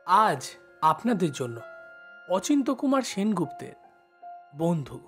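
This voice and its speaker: A man's voice: high-pitched, sing-song, slow, a bit bright. A man reads a scripted introduction as presenter of a story show. Music plays underneath his voice.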